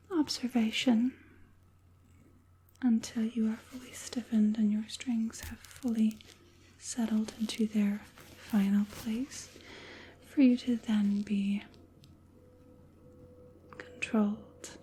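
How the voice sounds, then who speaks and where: A woman voicing an evil witch puppet master in a whispered ASMR roleplay, coaxing and falsely caring, slow and deliberate in a breathy hush. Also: on the high side, a little hiss or hum. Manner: slow, deliberate, soothingly menacing.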